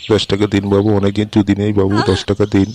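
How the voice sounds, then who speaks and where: A man as a low-voiced village beggar. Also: monotone, fast, lightly acted. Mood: pleading. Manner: repetitive pleading. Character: pleading, whining male village beggar. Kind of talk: comic cartoon dubbing. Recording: noisy track with birdsong.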